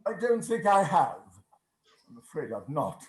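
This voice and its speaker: A man's voice: fairly high pitch, big pitch swings, measured pace, darkish tone. A man playing a grumpy, haunted old miser in a radio play, heard over a video call. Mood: meek, humbled. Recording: noisy video-call audio.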